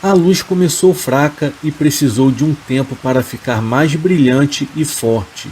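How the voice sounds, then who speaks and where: A man calmly reading first-person horror stories in a steady, scripted narration. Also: fairly high pitch, a little slow. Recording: faint rain and hiss behind the voice. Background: rain sounds.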